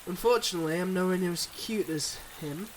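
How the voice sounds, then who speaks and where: A man's voice: high-pitched, a little fast, a little hiss or hum, close to an everyday voice, poor audio. A man playing a teasing, self-deprecating young boyfriend in an intimate roleplay audio drama, speaking straight to the listener with playful banter.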